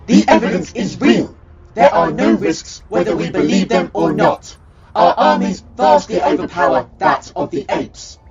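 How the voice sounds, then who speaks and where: An actor playing a pragmatic, commanding reptile military leader in an audio drama, speaking in formal, measured declarations. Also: male voice, on the high side, animated, creaky, a loud crowd. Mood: stern, calculating. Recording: muffled lo-fi, loud music behind.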